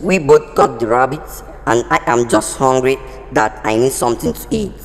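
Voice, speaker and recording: A man voicing a proud, stubborn animal hunting companion in a bedtime story, insistent and measured. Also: mid-range voice, lively intonation, gravelly. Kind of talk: storybook character acting. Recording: long hall-like reverb.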